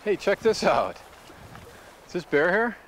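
A man's voice: high-pitched, big pitch swings, a little slow, a bit bright, a little hiss or hum. A man hosting an outdoor show, chatting casually and asking curious, folksy questions while hiking. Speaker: show host. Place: outdoors in open coastal tundra, on the move.

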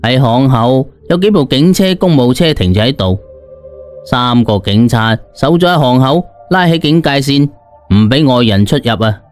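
A man's voice: mid-range voice, lively intonation, normal speed, a little mellow. A man with a Cantonese accent narrating a scripted story dramatically, as an audiobook reader. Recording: music behind the voice. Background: background music.

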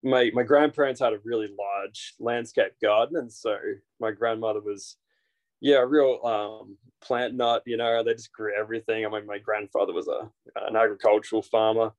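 A man in his thirties with an Australian accent, a guest talking casually over a video call from a small room, relaxed and full of fillers. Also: lowish voice, normal speed. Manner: relaxed, rambling with frequent fillers and restarts. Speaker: guest, naturalist guide. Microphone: video call, built-in device mic.

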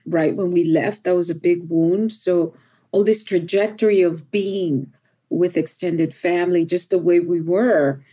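A woman's voice: fairly low pitch, fairly even intonation, measured pace, warm tone, slightly rough. A middle-aged woman from El Salvador, a poet whose first language is Spanish, speaking thoughtfully in an interview and often adding "right?". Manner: thoughtful, repeats words, often adds "right?". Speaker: poet, podcast guest. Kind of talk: reflective interview conversation.

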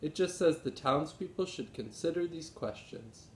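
A man voicing a helpful, sensible older boy in a children's storybook, informative and measured. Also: expressive, natural voice. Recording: roomy echo, low rumbling noise.

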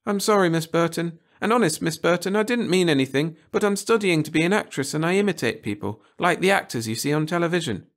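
A man playing a meek, high-voiced young girl. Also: animated, fast talker, few pauses, natural voice. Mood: apologetic, pleading. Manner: pleading, hesitant. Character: meek, apologetic eleven-year-old girl, aspiring actress. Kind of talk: storybook audiobook character voice.

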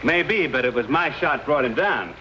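Rugged folksy frontier hero male actor, polite yet stubborn, very lively intonation, vintage TV western. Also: fairly high pitch, moderate pace, muffled recording, lightly acted. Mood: apologetic, teasing. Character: rugged, courteous but stubborn young frontiersman. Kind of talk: 1950s television western acting. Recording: noisy old film soundtrack.

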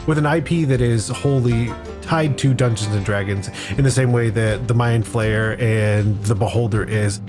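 A man speaking as a podcast guest, explaining his thoughts casually in a thoughtful, measured way. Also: mid-range voice, over music. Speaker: podcast guest, content creator.

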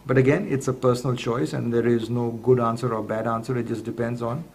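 A man giving a step-by-step instructional product demonstration in a measured, methodical way. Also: medium pitch, fairly even intonation, darkish tone, a little hiss or hum.

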